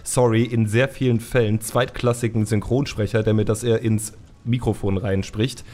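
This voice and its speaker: A man's voice: fairly low pitch, steady tone, moderate pace, mellow. A man hosting a casual gaming podcast, opinionated and colloquial, repeating words as he goes. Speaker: podcast host.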